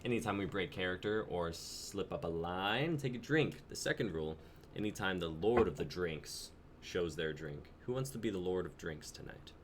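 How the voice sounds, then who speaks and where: A man's voice: deep voice, animated, normal speed. A man in his twenties casually hosting a livestream show. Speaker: host of a live-streamed reading show. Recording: clear background noise.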